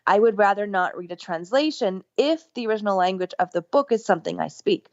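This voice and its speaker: A middle-aged woman, a podcast guest, telling a story in an enthusiastic, rambling way, with lively jumps in pitch. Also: a little fast, no echo. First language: English. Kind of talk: casual storytelling interview. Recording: dull lo-fi remote line.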